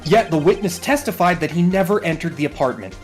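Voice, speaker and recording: A woman's voice: on the low side, lively intonation, fast talker, soft background music, lightly acted. A woman voicing a determined young male rookie defense lawyer in a video game, speaking assertively and declaiming. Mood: accusing.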